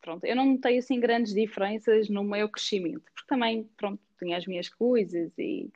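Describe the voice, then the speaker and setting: A woman in her thirties with a Madeiran Portuguese accent, a guest answering in a halting, hesitant way with frequent laughs. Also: mid-range voice, a little mellow, dull audio. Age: 30s. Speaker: podcast guest. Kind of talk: casual conversational interview. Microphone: remote call.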